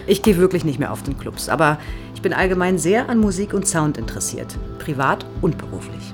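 Low-voiced, eager research psychologist. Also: female voice, expressive, fairly quick, music in the background. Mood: polite, explaining. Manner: polite, explanatory, keenly interested. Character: eager, probing female research psychologist, no longer young. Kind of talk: naturalistic audio drama dialogue.

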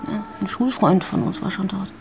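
Actress doing grieving young girlfriend, bitter n halting, gravelly fry in her voice, radio drama, bit of music behind. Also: medium pitch, lightly acted. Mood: subdued grief. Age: young adult. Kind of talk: naturalistic radio drama acting.

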